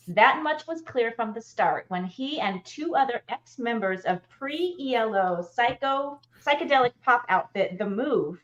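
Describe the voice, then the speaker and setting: High-voiced woman co-hosting, slightly dull audio. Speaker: co-host. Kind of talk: casual live show chat. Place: furnished room at home, indoors.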